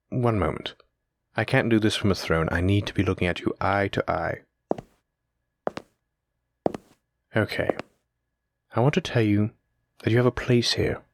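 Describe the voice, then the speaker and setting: A man playing a stern, teasing demon lord in a scripted audio roleplay, speaking in a measured, commanding way. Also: mid-range voice, no room sound, studio quality, close to an everyday voice. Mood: reassuring.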